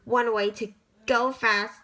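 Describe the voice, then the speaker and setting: A woman reading a children's picture book aloud, storytelling with lively emphasis and the occasional stumble. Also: a little high, slow pace.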